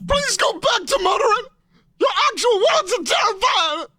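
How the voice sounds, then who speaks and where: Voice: extremely high pitch, lively intonation, normal speed, bright, forceful tone, no echo, full character voice. A performer voicing a terrified, frantic cartoon character in an anime parody dub, screaming and pleading. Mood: panicked.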